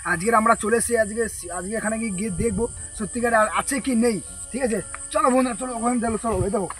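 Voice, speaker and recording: A man hosting a ghost-hunting vlog outdoors in the woods at night, jittery and excitable, repeating words. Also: very high voice, racing, faint music behind. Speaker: vlogger host.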